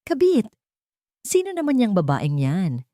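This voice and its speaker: A woman's voice: medium pitch, wildly swooping pitch, measured pace, mellow, no room sound, studio quality, voice pushed up. A woman voicing a snide, shallow young rich woman in a story, catty and teasing. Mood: incredulous.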